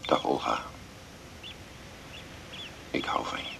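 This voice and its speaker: An actor playing a solemn, lovelorn farmer recording his last wishes in a radio drama, gravelly and slow, on a tape. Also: lightly acted. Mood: solemn, tender. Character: solemn dying farmer leaving a spoken will, lovelorn. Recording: hissy cassette tape with birds.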